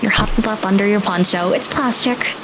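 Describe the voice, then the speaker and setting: A woman's voice: medium pitch, a little fast, background noise. A woman working as game master, narrating atmospheric tabletop scenes in a dramatic storytelling manner.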